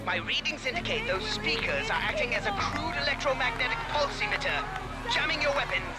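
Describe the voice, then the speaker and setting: A man's voice: extremely high pitch, big pitch swings, fairly quick, over loud music, a loud crowd. A man voicing a pompous, scheming, hot-tempered alien commander in a video game, theatrical and wordy. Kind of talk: video game cutscene acting.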